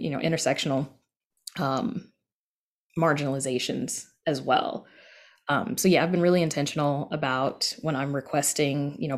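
A woman with a low-pitched voice speaks as a guest in a casual interview. She explains things in a chatty way, often dropping in small conversational asides as she goes. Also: darkish tone. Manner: chatty, frequent "you know" asides. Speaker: interview guest, podcast creator and story editor.

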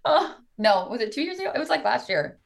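A woman's voice: a little high, big pitch swings, fast talker, vocal fry. Frank woman in her twenties, podcast guest. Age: 20s. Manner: laid-back, giggly, frank. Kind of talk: casual podcast chat.

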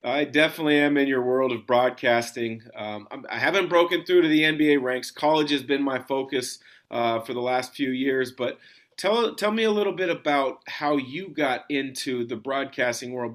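A man's voice: medium pitch, fairly bright tone. Male podcast host, middle register, conversational sports interview delivery.

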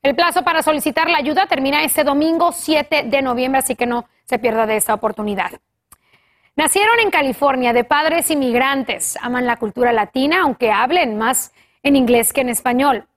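A woman anchoring a Spanish-language local newscast, reading the news in a crisp, even way. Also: sits high, normal speed.

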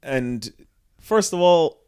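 A man's voice: a little high, lively intonation, slow pace, darkish tone, clear, resonant tone. A young man from Canada, co-hosting a podcast, telling a long anecdote in casual chat, laughing often and quoting people. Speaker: podcast co-host.

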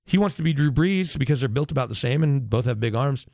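A man's voice: mid-range voice, lively intonation, fast talker, vocal fry, darkish tone, dry recording. A man talking as a sports radio analyst in casual conversation, opinionated and emphatic, often digressing as he makes his points.

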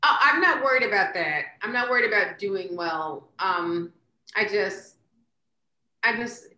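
A stand-up comedian woman chatting on a podcast, quick to laugh and loose with her fillers. Her voice is bright and forward, with a roomy echo around it. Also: medium pitch, expressive. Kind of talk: casual comedic chat.

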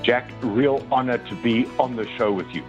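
A man's voice: mid-range voice, steady tone, normal speed, faint music behind. A man in his sixties, a motivational speaker telling his life story as a radio interview guest, earnest, with frequent "uh" fillers. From South Africa. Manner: earnest, many "uh" fillers, addresses the host by name. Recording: tinny phone line.

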